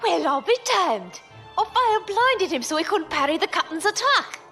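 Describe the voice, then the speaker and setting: A woman acting an excitable, baffled young ensign pilot in a sci-fi audio drama. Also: very high-pitched, moderate pace, faint music behind, exaggerated voice. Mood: amazed.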